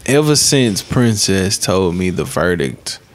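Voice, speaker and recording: A man around thirty with a fairly low voice hosts a relaxed group podcast. His speech is conversational, full of slang and swearing, recorded on a boom microphone in a small studio. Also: takes it slowly. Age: about 30. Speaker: podcast host. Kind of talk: casual group podcast chat, slangy and profane. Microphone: podcast microphone on boom arm.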